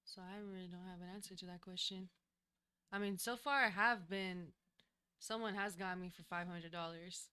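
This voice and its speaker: A woman who is a new singer, answering interview questions in a studio in a soft, hesitant way, often pausing to think. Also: mid-range voice, clean tone. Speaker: guest singer, new artist. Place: recording studio.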